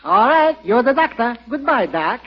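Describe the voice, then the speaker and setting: An actor in 1940s radio comedy playing a fussy, wheeling furniture dealer who talks in comically formal, mangled phrases. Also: male voice, very high voice, very animated, moderate pace, noisy background. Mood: businesslike. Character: wheeling, fussy male second-hand furniture dealer, excitable and wisecracking. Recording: dull vintage radio recording.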